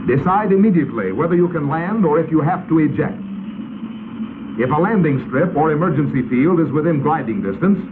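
An American man narrating a 1950s instructional film in a crisp, authoritative, instructional manner. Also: fairly high pitch, animated, fairly quick. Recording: tinny, noisy 1950s film soundtrack.

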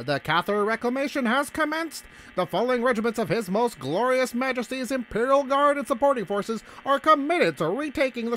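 A bombastic newsreel-style announcer of a sci-fi empire, pitched extremely high. The delivery is pompous and proclaiming, like a wartime bulletin, with noticeable noise around it. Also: male voice, a bit bright, low-quality recording. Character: bombastic propaganda announcer for a grim space empire. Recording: clear background noise.

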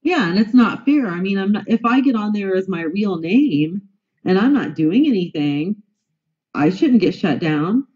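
A woman's voice: mid-range voice, animated, normal speed, warm tone, dull audio. Woman with a heavy cold. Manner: indignant, repeats herself. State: stuffy from a bad cold. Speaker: guest, news reporter. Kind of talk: interview answers, aggrieved complaint.

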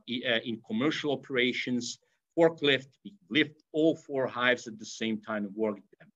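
A middle-aged lecturer with an Eastern European accent, speaking at an everyday mid pitch. He talks in halting bursts, full of fillers and restarts, as he explains things to a remote class. The sound is a little dull, like webcam audio on a video call. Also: a bit bright. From Yugoslavia. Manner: hesitant, many fillers and restarts. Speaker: veterinary professor. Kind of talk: online lecture.

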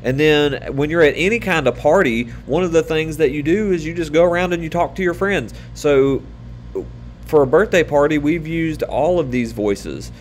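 A man in his thirties giving an online lesson to children, speaking patiently and upbeat, with many fillers and pauses, recorded on a webcam in a small room. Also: a little high, slight background noise. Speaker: teacher.

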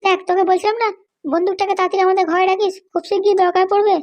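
Woman acting a hot-tempered mother, comic cartoon, very high pitch, rapid threatening delivery. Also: little pitch movement. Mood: urgent. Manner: sharp scolding, threatening. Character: scolding, bossy middle-aged mother, hot-tempered.

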